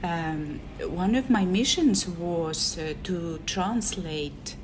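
A woman's voice: fairly low pitch, drawn-out words, background noise. A woman with an Estonian accent, a native Estonian speaker, hesitantly giving a short personal message with many filler sounds. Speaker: guest speaker.

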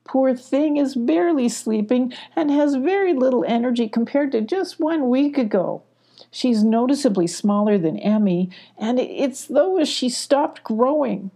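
Woman as storybook animal mother, gentle, earnest, anxious, higher pitch, mellow. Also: expressive, a little slow, exaggerated voice. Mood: worried. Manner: earnest, worried.

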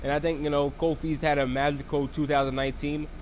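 Sick man with a froggy voice reviewing a wrestling show, rambling, a bit high-pitched, faint hiss behind. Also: level tone, fast talker. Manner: rambling, lots of fillers and restarts. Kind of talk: casual solo recap.

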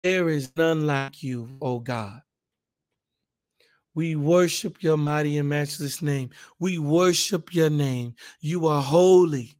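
Hoarse man teaching, then praying fervently. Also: on the high side, takes it slowly, darkish tone. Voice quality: raspy. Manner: casual, rambling, fervent in prayer. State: hoarse, raspier than usual. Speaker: podcast Bible teacher. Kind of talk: casual Bible podcast and spoken prayer.

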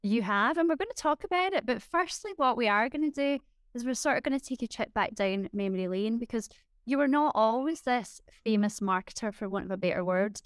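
Enthusiastic woman interviewer, smooth voice. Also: fairly high pitch, animated, fairly quick, dry recording, studio quality. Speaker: podcast host, interviewer.

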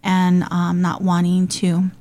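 A woman's voice: lowish voice, monotone, slow, warm tone. A woman who grew up in Hawaii, hosting and talking in a casual, earnest way with frequent ums. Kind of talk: casual conversational talk.

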